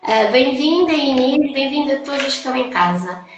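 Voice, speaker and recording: A woman's voice: high-pitched, expressive, normal speed, highs cut off, slight background noise. A woman with a European Portuguese accent hosting a casual live-stream chat as a holistic therapist. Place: echoey indoor room, online live call. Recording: very echoey room, live stream.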